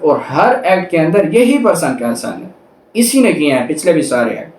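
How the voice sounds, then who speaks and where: Echoey, higher-pitched male law lecturer. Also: a little mellow. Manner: didactic, rephrases and repeats points step by step. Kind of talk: explanatory lecture, reading legal text then explaining it. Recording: roomy echo, distant microphone.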